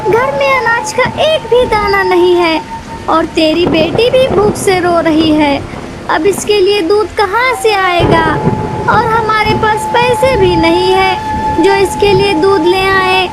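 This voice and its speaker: A woman's voice: very high-pitched, big pitch swings, slow pace, very noisy, full character voice. A woman voicing a worried old mother-in-law crow in a children's cartoon, fretting and complaining. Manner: fretful, complaining. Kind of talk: Hindi children's cartoon dialogue. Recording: loud music behind the voice.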